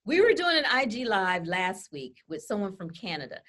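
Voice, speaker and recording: A woman's voice: medium pitch, big pitch swings, moderate pace, fairly bright tone. A woman co-hosting a casual webinar presentation from home, talking freely with many "you know" and "okay?" asides. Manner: chatty, full of fillers like "you know" and "okay?". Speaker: co-host and presenter. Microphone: laptop webcam on a video call.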